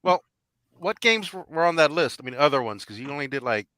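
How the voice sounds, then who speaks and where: A man's voice: on the high side, expressive, brisk, bright, forceful tone. A man in his forties chatting casually as a panelist on a video game podcast. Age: 40s. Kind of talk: casual chat.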